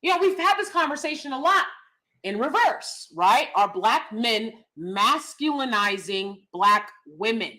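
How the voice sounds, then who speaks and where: Loud woman ranting on her vlog, blunt, cusses a lot, voice jumps all over, kinda high. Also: unhurried. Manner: loud, animated, blunt with cursing. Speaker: vlog host. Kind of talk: casual commentary vlog.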